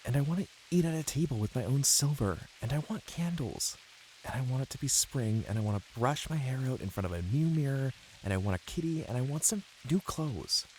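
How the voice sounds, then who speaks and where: A man's voice: mid-range voice, expressive, a little fast, a little mellow, a little hiss or hum, voice pushed up, natural voice, low-quality recording. A man voicing a restless, wistful young American wife, plaintive and insistent as she longs for things. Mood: longing.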